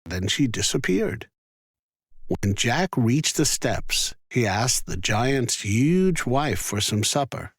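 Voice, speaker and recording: A man tells a children's fairy tale at a slow, careful pace. His mid-pitched voice rises and falls expressively, and the recording is dry and close. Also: sing-song, slightly rough, dry recording. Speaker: storyteller.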